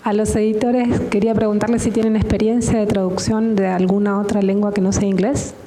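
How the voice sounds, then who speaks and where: A woman in the audience asks the panel a question, explaining it at a measured pace. Her voice is warm and darker in tone, and it rings with the echo of a large hall over faint noise. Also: mid-range voice, level tone. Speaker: audience member asking a question. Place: book fair hall. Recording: roomy hall sound.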